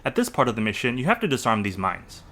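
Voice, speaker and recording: A man delivers informative gameplay commentary at a quick clip. His pitch moves around in a lively way as he methodically explains and adds his own opinions. The voice is bright and forward. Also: faint music behind. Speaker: game walkthrough narrator.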